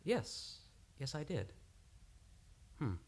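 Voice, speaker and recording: A man playing a youthful, expressive doctor with swooping intonation. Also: fairly high pitch, drawn-out words, husky, heavily acted. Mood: thoughtful. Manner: courteous, expressive. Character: youthful, charming but edgy male chief of staff doctor. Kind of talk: old-time radio play acting.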